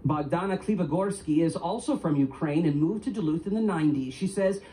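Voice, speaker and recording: A man reporting the local news in a measured broadcast delivery, heard played from a television. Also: on the high side, warm tone, far from the mic. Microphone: broadcast mic, replayed from a TV set. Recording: TV audio recorded off a speaker.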